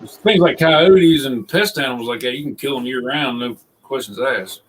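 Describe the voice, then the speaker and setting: A boisterous man, chatting loudly and laughing. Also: medium pitch, normal speed, low-quality recording. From North Carolina, US. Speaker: guest. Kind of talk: casual chat. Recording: faint background noise.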